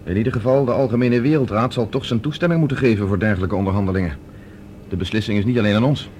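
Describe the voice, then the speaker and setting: An actor playing a calm, reasoning space expedition leader with a measured, decisive way of explaining, in a science-fiction radio drama. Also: male voice, fairly low pitch, close to an everyday voice. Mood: firm. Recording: noisy old radio drama recording.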